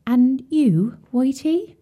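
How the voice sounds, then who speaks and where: A woman voicing an old, dying mother pig who speaks earnestly and a little louder, full of care for her young. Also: high voice, animated, very slow, voice pushed up, heavily acted. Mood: tender.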